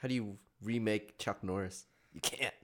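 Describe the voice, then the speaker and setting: A man's voice: fairly low pitch, moderate pace. A young man co-hosting a casual chat, joking, laughing often and swearing. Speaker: podcast co-host.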